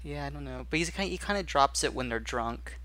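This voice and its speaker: A man's voice: a little high, expressive, fairly quick, crowd noise. A man on a casual film podcast, speaking thoughtfully and candidly about his own experience.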